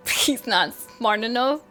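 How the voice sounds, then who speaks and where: A woman streaming a game, joking playfully and reacting loudly. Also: a little high, animated, very slow, low-quality recording. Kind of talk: casual commentary over a game. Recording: game music playing behind.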